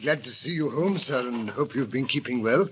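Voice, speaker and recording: A man's voice: mid-range voice, animated, normal speed, close to an everyday voice. An actor playing a kindly old male servant at a manor, speaking deferentially in a 1930s radio drama. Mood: respectful. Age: older. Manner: deferential and polite. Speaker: butler. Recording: hissy dull 1930s radio broadcast.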